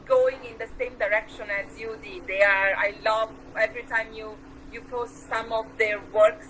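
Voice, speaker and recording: A woman's voice: on the high side, pushed and bright, slight background noise, rough recording. A woman host with an Italian accent, asking questions over a video call, enthusiastic and full of restarts. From Switzerland. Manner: enthusiastic, with many uhs and restarts. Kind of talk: interview questions.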